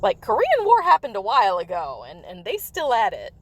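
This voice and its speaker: A woman chats loosely to her viewers during a game livestream, wandering from topic to topic. Her voice sits at a middling pitch and has turned slightly raspy from coughing. She laughs easily between thoughts. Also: huge pitch swings, a little fast, a bit bright. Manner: rambling, chatty, with frequent laughs. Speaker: livestream host. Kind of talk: casual chat while playing a mobile game.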